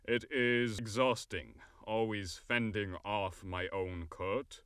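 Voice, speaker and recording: A man playing a weary, cunning fairy king in an audio drama, speaking formally and deliberately. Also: lowish voice, steady tone, a bit bright, smooth, no room sound, clean studio sound. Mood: exasperated.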